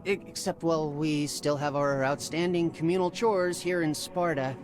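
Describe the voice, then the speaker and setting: An actor playing an eager, chatty teenage Spartan younger brother in a video game, excitable and informal, over background music. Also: male voice, on the high side, normal speed, close to an everyday voice. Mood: excited.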